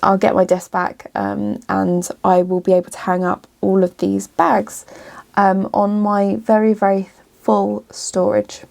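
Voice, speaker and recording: A chatty woman talking to camera from her home studio. Her voice has a slightly gravelly, creaky texture and sits at an ordinary middle pitch. She sounds a little bunged up and drifts along with easy ums and restarts. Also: measured pace, darkish tone. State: slightly bunged up from a runny nose. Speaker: small business vlogger. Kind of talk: casual vlog chat to camera.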